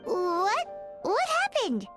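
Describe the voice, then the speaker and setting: Squeaky, very high childlike fairy companion. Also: female voice, huge pitch swings, drawn-out words, over music, full character voice. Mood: astonished. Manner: exclamatory, informal. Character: tiny chirpy excitable childlike fairy companion. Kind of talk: video game voice acting.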